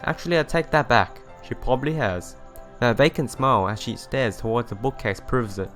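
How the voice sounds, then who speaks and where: A man's voice: mid-range voice, normal speed, a bit bright. A man reading visual novel narration and dialogue aloud as a let's play host, stumbling over and rereading the odd phrase, with game music behind him.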